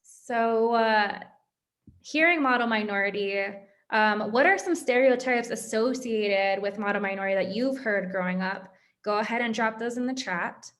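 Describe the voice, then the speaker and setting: A woman's voice: on the high side, normal speed, fairly bright tone. A woman co-hosting a student webinar, speaking plainly and conversationally and addressing the audience directly. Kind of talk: presenting a discussion talk.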